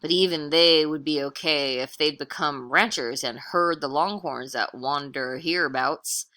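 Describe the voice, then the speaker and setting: A folksy Texan drifter from a children's tall tale, as a woman reader puts him on. He speaks bluntly and emphatically, with a bright, forceful edge. He is a plain-talking storybook cowboy. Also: deep voice, measured pace. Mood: frank, matter-of-fact.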